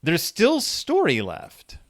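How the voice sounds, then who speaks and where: Animated man in casual book discussion. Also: sits high, slow pace, no echo, clean studio sound. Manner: animated, acts out quoted dialogue; informal, colloquial. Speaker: podcast host.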